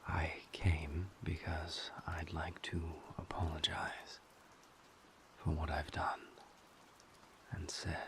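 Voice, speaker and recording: An actor playing a remorseful, formal and guarded vampire boyfriend in an intimate roleplay audio, halting and careful, with a breathy, gravelly low voice. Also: male voice, monotone, slight background noise, exaggerated voice. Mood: contrite, subdued.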